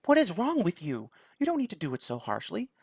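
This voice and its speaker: A man's voice: high voice, huge pitch swings, fairly quick, gravelly, darkish tone, husky, dry recording, studio quality, pitched above the performer's own voice, exaggerated voice. A man voicing a stern but caring young woman from a visual novel, speaking in an earnest, scolding way.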